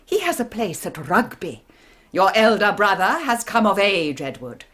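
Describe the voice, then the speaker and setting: An English actress playing a strict, pompous Victorian governess in a radio drama, commanding and formal. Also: female voice, mid-range voice, very animated, moderate pace, fairly bright tone. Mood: stern, scolding. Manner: commanding, crisply formal, theatrical scolding. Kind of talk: 1990s radio drama acting.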